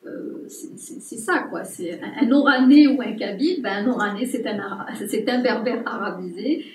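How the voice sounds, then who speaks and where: A woman anthropologist speaking at a public talk, pausing often with fillers and restarts. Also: fairly high pitch, expressive, slight background noise. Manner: hesitant, many fillers and restarts. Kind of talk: unscripted talk to an audience. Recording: roomy distant mic with faint ambience.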